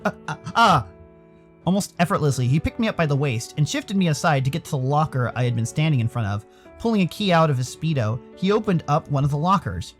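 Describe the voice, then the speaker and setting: Animated American man narrating a game. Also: fairly high pitch, quick pace, faint music behind. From Arizona, United States. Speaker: streamer reading a visual novel aloud. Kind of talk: animated story narration with casual asides.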